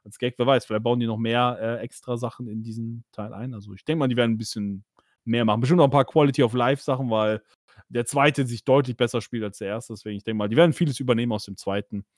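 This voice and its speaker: A man's voice: medium pitch, steady tone, fast talker, no room sound, studio quality. A man hosting a casual podcast about games, chatty and full of fillers, laughing now and then. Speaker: podcast host. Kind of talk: casual gaming podcast chat.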